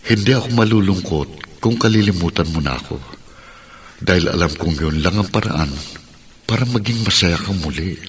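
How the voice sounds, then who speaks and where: An actor playing a gentle, self-sacrificing lover in a radio drama, speaking solemnly and tenderly as if from a dream. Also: male voice, low-pitched, moderate pace, a little hiss or hum. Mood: tender, resigned.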